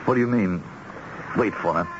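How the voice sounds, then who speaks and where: An actor playing an anxious, respectable husband drawn into crime in a radio drama, hesitating and stammering. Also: male voice, low-pitched, normal speed, noisy background, close to an everyday voice. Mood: anxious, hesitant. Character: nervous, wavering respectable husband drawn into killing. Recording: dull old radio recording.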